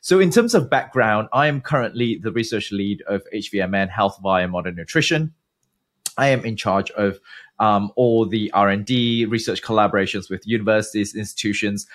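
A man in his thirties from Malaysia, a guest researcher giving measured, explanatory interview answers with frequent pauses. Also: fairly low pitch, a bit bright.

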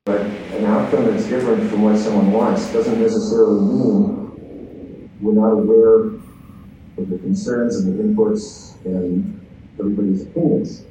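A man who serves as a school board member, making measured, hesitant remarks at a public meeting. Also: lowish voice, steady tone, warm tone. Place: indoor public meeting room. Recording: very echoey meeting room, distant, faint noise.

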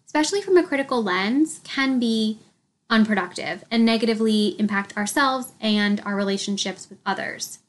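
A woman hosting a solo podcast, slightly congested, speaking in a reflective, candid way with light laughs. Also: fairly high pitch. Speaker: podcast host.

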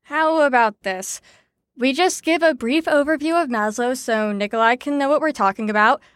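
Audio drama role: sarcastic woman running a psychology lesson played by an actress, higher voice, dry studio sound, falsely upbeat. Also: moderate pace, dry recording, lightly acted. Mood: explaining. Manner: sardonic, mock-cheerful.

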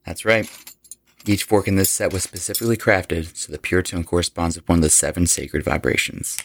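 An actor playing a calm, occult-minded rock musician who speaks earnestly. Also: male voice, low voice, fairly quick, vocal fry, slight background noise.

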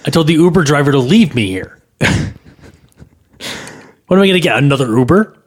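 A man's voice: fairly high pitch, lively intonation, brisk. A man co-hosting a podcast in casual, comedic banter. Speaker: podcast co-host.

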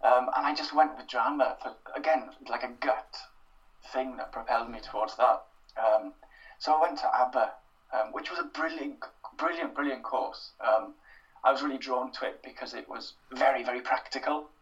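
A man's voice: mid-range voice, normal speed, fairly bright tone, recorded from a distance, lo-fi sound. A young Welsh man answering interview questions in a thoughtful, self-deprecating way, with plenty of fillers and restarts. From Wales. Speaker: interview guest, writer. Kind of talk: casual interview.

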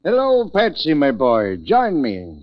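An actor playing a boozy, genial ex-doctor in a 1940s radio drama, with a florid, theatrical delivery. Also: male voice, fairly high pitch, huge pitch swings, slow, dry recording, exaggerated voice. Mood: genial, tipsy. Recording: dry, muffled 1940s radio recording.

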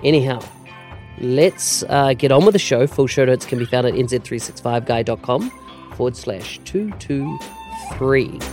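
A man's voice: medium pitch, animated, moderate pace, over music. A man hosting a podcast, reading an intro and chatting with a guest in a conversational way. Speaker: podcast host. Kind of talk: podcast interview.

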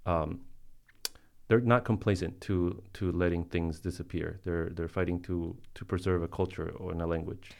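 Male podcast guest, Colombian-born native Spanish speaker, very low pitch, halting conversational delivery. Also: fairly even intonation, gravelly. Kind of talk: casual podcast interview talk.

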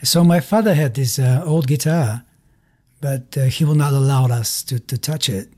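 A middle-aged man with a Bulgarian accent, speaking haltingly in non-native English as he tells his story in a casual interview. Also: medium pitch, a little mellow. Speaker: musician being interviewed.

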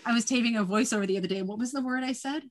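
A woman's voice: on the high side, very fast, noisy background. Guest: middle-aged woman, New England accent, chatty, laughs a lot. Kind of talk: casual conversation.

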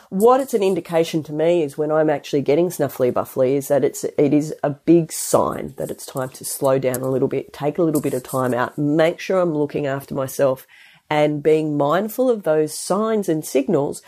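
A woman's voice: low-pitched, warm tone. A woman with a stuffy head cold, hosting her podcast in a chatty, rambling way full of restarts and asides. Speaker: podcast host and health practitioner. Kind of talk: casual solo podcast talk.

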